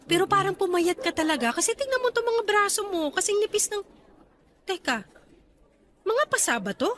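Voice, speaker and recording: A woman's voice: extremely high pitch, very animated, brisk, a bit bright, slight background noise, heavily acted. A woman playing a young, protective and affectionate aunt in a radio drama. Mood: concerned, tender. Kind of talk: radio drama acting in Tagalog.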